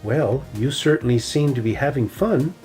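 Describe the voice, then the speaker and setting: A man voicing a quiet, gentle mother bear. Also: mid-range voice, animated, moderate pace, soft background music, lightly acted. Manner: quiet, soft-spoken.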